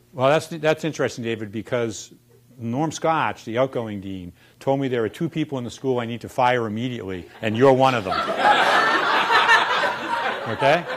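A man in his sixties from Boston, with a Boston accent, telling wry stories in a relaxed lunchtime talk in a lecture room. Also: medium pitch, slight background noise. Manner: wry storyteller, quoting people with punchlines. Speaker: former dean giving a talk. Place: dark lecture room in front of chalkboards.

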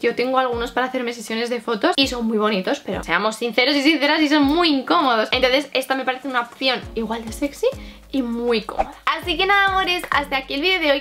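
Young woman, Castilian Spanish accent, very quick pace, informal chatty vlog delivery, music behind. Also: high voice, a bit bright. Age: 20s. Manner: chatty, informal, rambling with asides. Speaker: vlogger. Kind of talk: casual chatty clothing haul to camera. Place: small bedroom, indoors. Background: background music.

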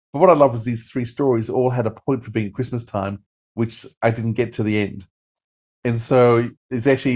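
A man's voice: on the low side, a little mellow. A middle-aged man chatting enthusiastically as a podcast host, reviewing stories casually on a video call. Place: indoors at home.

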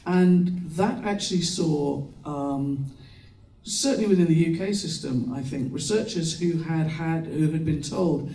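A man's voice: on the high side, measured pace, mellow, echoey room, recorded from a distance, poor audio. A British man from the UK speaking on a panel in a seminar room, hesitant with many restarts. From United Kingdom. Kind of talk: panel discussion remarks. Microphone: handheld mic, far camera.

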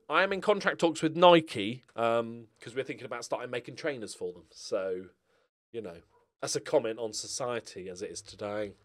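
A man's voice: medium pitch, a bit bright. A middle-aged man giving casual, rambling commentary on a game, with a wry, self-mocking, jokey manner. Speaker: gaming video host.

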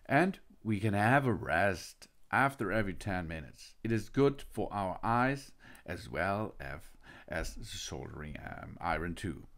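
Slow, low-pitched man demoing tools. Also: animated, fairly bright tone. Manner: non-native phrasing, hesitant fillers. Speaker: product reviewer. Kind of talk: unboxing and product walkthrough.

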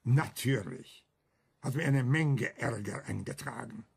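An actor playing an older, embittered but principled camp survivor and writer in a German radio crime drama, arguing emphatically with rhetorical questions. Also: male voice, mid-range voice, unhurried, creaky, breathy edge, heavily acted. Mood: grave, bitter.

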